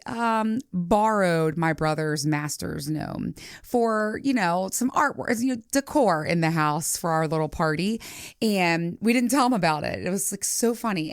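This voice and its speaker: Low-voiced woman chatting casually, dry sound. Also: smooth, no echo. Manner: animated storytelling, acting out quoted reactions. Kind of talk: casual chatty solo podcast talk.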